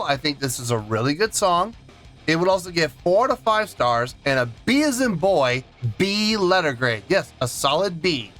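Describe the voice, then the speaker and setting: A man's voice: high-pitched, fairly bright tone. A man hosting a music reaction channel, giving a casual song review and often repeating himself for emphasis. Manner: repeats and restarts phrases for emphasis.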